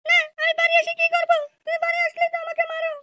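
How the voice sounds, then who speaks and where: A woman voicing an aggrieved young village wife in an amateur comedy cartoon, squeaky and scolding in an indignant, complaining way. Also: completely flat delivery, rapid-fire, sharp tone. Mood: resentful refusal. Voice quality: squeaky, artificially high-pitched.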